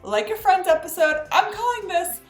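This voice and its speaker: A woman's voice: very high-pitched, very animated, moderate pace, reverberant, faint music behind. A woman presenting a scripted entertainment gossip recap as host, with an emphatic, chatty delivery.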